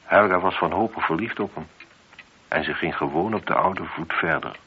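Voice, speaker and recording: An actor playing a shrewd, methodical chief police inspector in a radio play, speaking in a measured, probing and politely insistent way. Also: male voice, deep voice, breathy edge. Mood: measured, accusing. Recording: dull, noisy old radio play recording.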